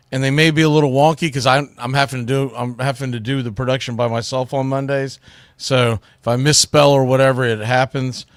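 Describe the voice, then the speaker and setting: A man's voice: medium pitch, fairly bright tone, no echo, studio quality. A man hosting a solo sports talk show, giving commentary in an informal, opinionated way.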